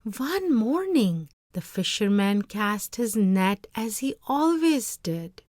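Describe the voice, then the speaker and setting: Animated, unhurried woman storyteller. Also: medium pitch, no room sound, studio quality. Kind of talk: expressive scripted read-aloud narration.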